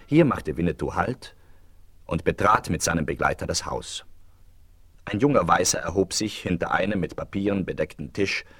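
A male narrator tells a western adventure in a very low voice. He is measured and literary, in seventies radio play style, with music underneath. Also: fairly bright tone, husky, dry recording.

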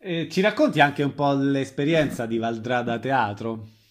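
A man with a medium-pitched voice works as a radio interviewer. He speaks informally and conversationally, keeping his questions brief. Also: normal speed, slight background noise.

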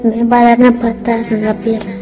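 A woman's voice: a little high, completely flat delivery, brisk, music in the background, natural voice. A woman playing a timid, obedient little schoolboy in a radio drama, talking in meek, simple childlike phrases. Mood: fearful. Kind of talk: Spanish-language radio drama acting.

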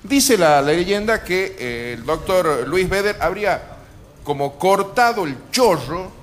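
Wry man, fairly high voice. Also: expressive, measured pace. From Argentina. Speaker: radio show co-host. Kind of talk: comic chat on a live radio show. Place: bar, live radio broadcast before patrons.

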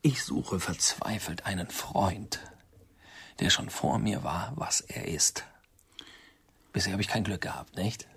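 A man's voice: deep voice, animated, slow, creaky, long pauses, a bit bright, husky, full character voice. An actor playing a bitter, possessive man in a radio drama, sarcastic and theatrical, moving between muttering and declaiming. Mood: bitter, brooding. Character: bitter, possessive man lecturing his old friends. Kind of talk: German radio drama acting.

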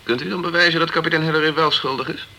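An actor playing a dogged, straight-talking insurance investigator in a Dutch radio crime drama, direct and clipped. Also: male voice, a little high, fairly even intonation, racing, sharp tone, slight background noise, poor audio. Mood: insistent.

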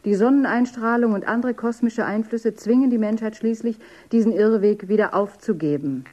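A woman playing a composed, dreamy character in a dystopian radio drama, measured and articulate. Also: fairly high pitch, warm tone, lightly acted. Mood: factual, reciting. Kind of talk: German radio drama acting.

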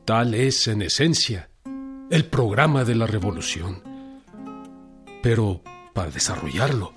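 An actor playing a Mexican revolutionary leader, with solemn, declamatory oratory in a radio drama. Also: male voice, medium pitch, natural voice. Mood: fervent. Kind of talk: radio drama historical speech.

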